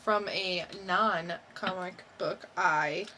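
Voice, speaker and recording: A woman co-hosts a casual podcast with a bright, crisp-edged voice. She talks slowly at an average pitch, informal and brief in her replies. There is faint noise in the background. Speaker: podcast co-host.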